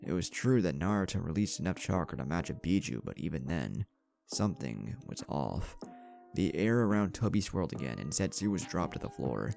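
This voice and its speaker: Deep-voiced man narrating, gravelly edge. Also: big pitch swings, normal speed, a little mellow. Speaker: narrator of fan fiction stories. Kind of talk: scripted story narration with acted dialogue. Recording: music behind the voice. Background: background music.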